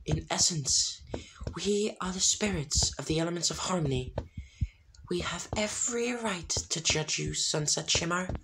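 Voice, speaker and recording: A reader voicing a stern, ethereal spirit passing judgment, breathy and half-whispered, in a noisy home recording. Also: mid-range voice, measured pace, voice pushed up, close to an everyday voice. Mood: stern, solemn.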